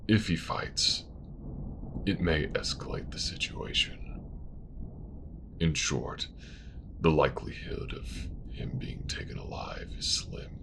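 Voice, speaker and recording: A man playing a stern, world-weary religious official in a fantasy audio drama, gravelly, measured and formal. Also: low-pitched, wildly swooping pitch, noisy background, exaggerated voice. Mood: warning, formal.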